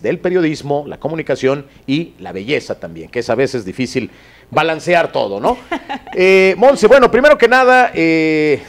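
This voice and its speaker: A middle-aged Mexican man, an effusive and teasing podcast host, chatting casually into a handheld microphone. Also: medium pitch. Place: small indoor room with stone wall.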